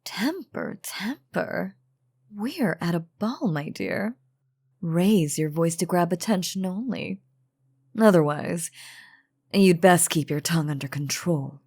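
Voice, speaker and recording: An elegant, ancient vampire lady, played by a woman, speaks low and slowly, every word cleanly shaded with a husky edge. She is teasing and coaxing, with theatrical, courtly phrasing. It is a roleplay audio drama with soft music underneath. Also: lively intonation, exaggerated voice. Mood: stern, taunting. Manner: theatrical, archaic, velvety formal phrasing.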